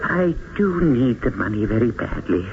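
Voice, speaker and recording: Desperate, haunted man, swooping pitch. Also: very animated, gravelly. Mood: desperate, halting. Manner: halting, fervent, insistent. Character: desperate, mystical down-on-his-luck man, earnest and haunted. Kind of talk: old-time radio drama acting. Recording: dull, hissy old radio broadcast.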